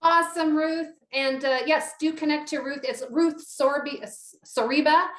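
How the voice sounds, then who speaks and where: A woman's voice: sits high, steady tone, moderate pace, fairly bright tone, slight background noise. A Canadian woman hosting a virtual networking event, chatty, upbeat and encouraging. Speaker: event host. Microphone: video call. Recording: echoey room on a video call.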